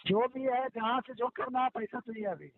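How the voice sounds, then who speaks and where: Over a muffled phone recording comes a high-pitched male voice. He is a debt collector who scolds, interrupts and repeats his demands insistently. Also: moderate pace. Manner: pushy, scolding, repetitive, interrupting. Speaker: bank loan recovery official. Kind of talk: phone argument demanding repayment. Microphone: telephone call recording.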